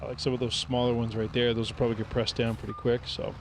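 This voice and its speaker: A man streaming a farming game, thinking aloud in a relaxed, rambling way as he plays. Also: mid-range voice, fast, noisy background. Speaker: gaming streamer. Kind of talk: casual gameplay commentary.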